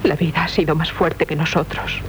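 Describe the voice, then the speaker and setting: A woman's voice: low-pitched, flat delivery, moderate pace, slight background noise, lightly acted. An actress playing an anguished young noblewoman torn between love and vengeance in a 1970s radio play, with a Castilian accent and an impassioned, solemn delivery. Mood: grave, resolute. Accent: Castilian Spanish. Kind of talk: 1970s Spanish radio theatre acting. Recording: dull 1970s radio broadcast recording. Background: prominent music.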